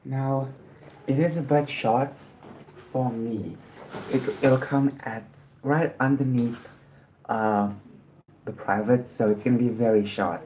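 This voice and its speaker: A man from South Africa talks casually through a livestream, rambling from one thought to the next and apologizing often. His voice is dark and mellow, and his pitch sits in a middling range. He speaks from a room at home. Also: fairly even intonation, slow, muffled recording, slight background noise. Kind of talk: casual livestream chat while eating.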